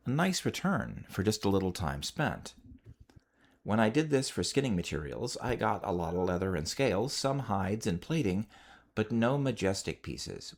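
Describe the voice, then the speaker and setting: A man delivers a scripted gaming report for a podcast in a very low voice. His intonation moves up and down in a lively way, while his delivery stays measured and orderly. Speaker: podcast contributor sending a recorded report.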